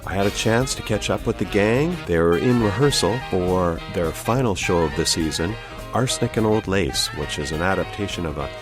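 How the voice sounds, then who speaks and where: A man's voice: deep voice, expressive, moderate pace. A man hosting a podcast, introducing an episode in scripted narration. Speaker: podcast host. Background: music.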